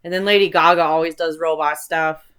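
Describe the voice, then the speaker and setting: A woman's voice: low voice, fairly even intonation, brisk, fairly bright tone, clear, resonant tone. A woman hosting an online video, talking in a casual, rambling, chatty way with informal enthusiasm for what interests her. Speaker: host of an online video channel.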